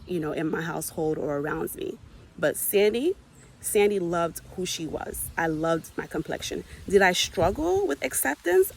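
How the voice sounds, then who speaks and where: A woman's voice: fairly low pitch, vocal fry, slight background noise. A woman whose first language is Haitian Creole, speaking into her phone with an earnest, impassioned message that builds emphasis as she repeats key points. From United States. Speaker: mother addressing her community. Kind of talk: earnest personal message to camera.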